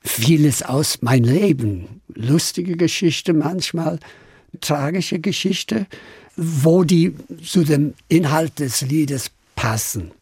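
An older Irish man from Dublin speaks slowly and thoughtfully, his voice husky and a little breathy. He hesitates often, pausing and restarting as he searches for words in a language that is not his first. The recording is dry and close. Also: on the high side, lively intonation, darkish tone, no room sound. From Ireland, Dublin. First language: English. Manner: hesitant, many pauses and slips. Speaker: guest singer-songwriter. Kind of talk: radio interview answers.

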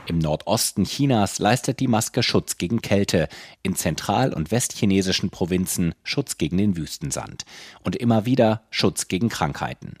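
Deep-voiced male radio correspondent. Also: husky, dry recording, studio quality. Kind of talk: scripted radio report.

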